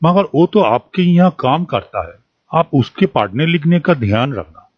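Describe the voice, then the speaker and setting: Man acting a husky, formal cartoon school principal. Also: fairly high pitch, big pitch swings, normal speed, no room sound. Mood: impressed, proud. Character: formal, proud school principal, adult male. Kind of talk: animated story dialogue. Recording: dull, dry lo-fi studio track.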